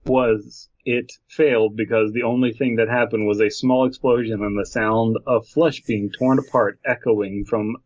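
A man's voice: mid-range voice, steady tone, normal speed, hardly any pauses, dry recording, muffled recording. A man hosting a podcast, reading a horror story aloud in a joking, mock-dramatic way. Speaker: podcast host.

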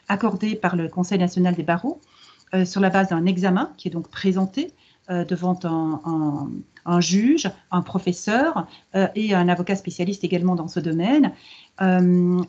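Middle-aged female lawyer as interview guest, slightly rough-edged husky voice, measured teaching delivery, muffled video-call sound. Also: medium pitch, a little mellow. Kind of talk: explanatory interview answer on a video conference. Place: book-lined office, video call. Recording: dull video-call audio.

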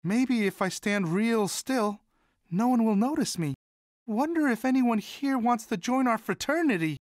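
A man voicing a sweet, timid young monster student in an animated video game, speaking in a hesitant, polite, childlike and earnest way. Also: very high voice, a little slow, dry recording, studio quality. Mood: shy, awkward. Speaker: video game character voice actor.

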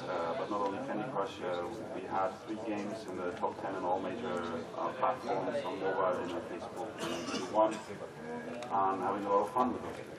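A man from Germany with a German accent, a relaxed, joking conference panelist. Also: low-pitched. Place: large conference hall with audience. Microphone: stage microphone heard from far off. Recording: echoey hall, distant, loud crowd.

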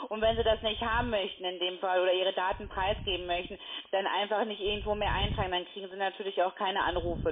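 A woman making an unscripted sales call, brisk and argumentative. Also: medium pitch, slight background noise. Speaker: telemarketing caller. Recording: thin tinny phone line.

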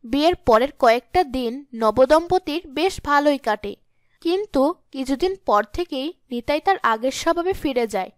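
A woman's voice: high voice, animated, normal speed, no echo, studio quality. A woman reading scripted storytelling narration for a cartoon.

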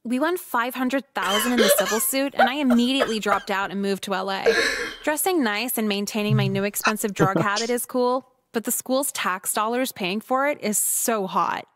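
Woman voicing a high, bright, snarky teenage girl. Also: normal speed, natural voice. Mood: smug narration. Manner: foul-mouthed, snarky, blunt. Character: brash, sarcastic seventeen-year-old high school girl on the witness stand. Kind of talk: visual novel voice acting.

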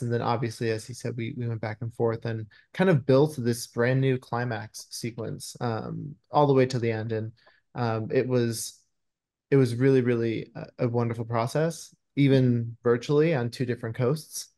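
A man's voice: medium pitch, steady tone, a little slow, creaky, darkish tone. Man chatting in a video-call interview. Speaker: screenwriter being interviewed. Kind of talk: conversational interview answers. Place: indoor room at home, video call.

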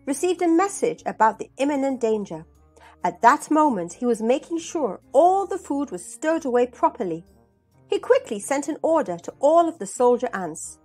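Woman storyteller, middle-aged, high pitch, very animated, expressive, faint music. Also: slightly rough. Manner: expressive, addressing children directly. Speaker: storyteller and host. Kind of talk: children's storybook read-aloud. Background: background music.

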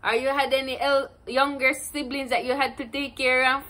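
Bright, high-voiced young Jamaican woman. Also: level tone, normal speed. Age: 20s. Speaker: interviewer, granddaughter. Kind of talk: casual interview. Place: indoors, plain room.

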